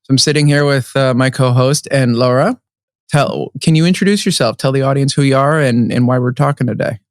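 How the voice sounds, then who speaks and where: A man's voice: medium pitch, brisk, no echo. Chatty man podcast host, dry sound. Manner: chatty, with restarts and hedges. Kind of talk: casual interview chat. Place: conference venue.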